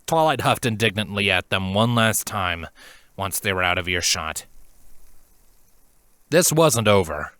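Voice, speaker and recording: A man narrating scripted fan fiction in an earnest, dramatic storytelling manner with deliberate pauses. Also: on the low side, lively intonation, pushed and bright, clear, resonant tone, dry recording.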